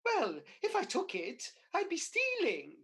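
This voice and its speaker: A woman's voice: very high voice, wildly swooping pitch, measured pace, fairly bright tone, heavily acted. A woman playing a cheeky, scatterbrained Mother Goose in a radio pantomime, exclamatory and comic. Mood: bemused, earnest. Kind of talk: pantomime radio play acting.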